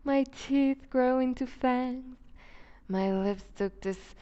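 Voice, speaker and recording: Woman doing a seductive vampire duchess for asmr, slow n purring, bossy and possessive, bit muffled recording. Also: high voice, dark tone, smooth. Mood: wistful, dark. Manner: slow, deliberate, purring condescension with whispered phrases. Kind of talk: ASMR roleplay audio drama.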